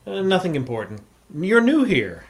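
A man's voice: sits high, huge pitch swings, normal speed, full character voice. An actor playing a hard-boiled, sly American freelance newspaperman, with a clipped, wry delivery in an old-time radio drama. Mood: casual, probing. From United States, San Francisco. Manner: clipped, wry, world-weary storytelling. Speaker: lead actor and narrator. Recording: vintage radio broadcast.

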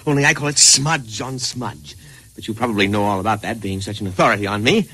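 An actor playing an eccentric, boastful struggling painter with a breezy, chatty manner, in old-time radio comedy style. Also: male voice, on the low side, fast, bright, forceful tone, rough recording. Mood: proud, boastful. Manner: breezy, chatty, proud of his own work. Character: eccentric struggling painter, boastful, oblivious, sly, eager to show off.

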